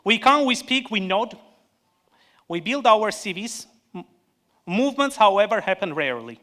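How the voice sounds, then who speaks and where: Man with an Armenian accent, economist giving a panel speech. Higher pitch, bright tone, earnest and measured, restarts the odd word. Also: very animated. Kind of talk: prepared conference speech.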